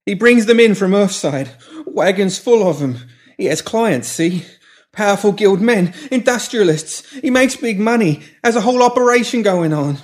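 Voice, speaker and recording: A man performs a coarse street thug's voice pushed high and loud, babbling in panic. The pitch leaps around wildly as he pleads and gabbles. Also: big pitch swings, a little fast. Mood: desperate babbling. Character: male pimp Pinky, coarse thug turned panicked.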